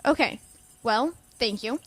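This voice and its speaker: A female professor for an audio drama, with a slightly high voice. She is kindly and caring, yet reserved and gently firm, choosing her words in a measured way. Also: slightly rough, full character voice. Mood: apologetic but firm. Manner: caring, measured, calls listener dear.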